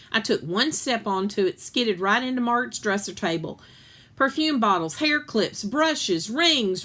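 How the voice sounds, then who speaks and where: Female storyteller for children's book read-aloud, mid-pitched, expressive delivery. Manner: expressive, acts out dialogue.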